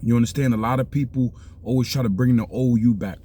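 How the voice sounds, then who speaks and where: A man's voice: medium pitch, fairly even intonation, fast talker, warm tone, slight background noise. A middle-aged man from the South Bronx with a New York accent, talking casually and rambling, checking in with the listener again and again. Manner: rambling, keeps asking "you understand?". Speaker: vlogger giving life advice. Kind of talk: casual street talk.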